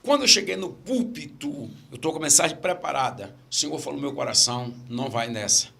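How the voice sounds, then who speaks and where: A man's voice: medium pitch, animated, a little fast, gravelly, sharp tone. A middle-aged man from Brazil, a pastor with a stammer, telling stories in casual podcast chat and repeating his key phrases.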